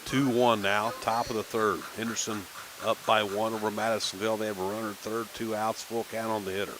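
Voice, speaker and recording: A man calling a minor league baseball game on local radio, chatting casually between pitches. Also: fairly quick, noisy background. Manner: relaxed folksy chatter between pitches, rising excitement on big plays. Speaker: play-by-play announcer.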